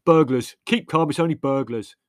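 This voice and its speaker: A man's voice: fairly high pitch, normal speed, dry recording, clean studio sound, pitched above the performer's own voice, close to an everyday voice. A man voicing an aggrieved, self-satisfied young man who sounds defensive.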